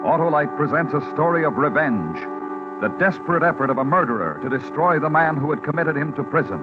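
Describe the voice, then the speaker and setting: Animated 1950s radio announcer, thin vintage sound. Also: male voice, fairly high pitch, a little fast, phone-quality sound, over loud music. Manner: theatrical, emphatic salesmanship. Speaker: radio announcer and sponsor pitchman. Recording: thin, band-limited 1950s radio broadcast.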